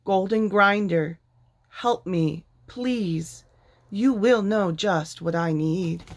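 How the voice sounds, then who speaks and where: Woman voicing a kindly, measured storybook dragon. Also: mid-range voice. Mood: gentle, instructing. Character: kindly gigantic male dragon.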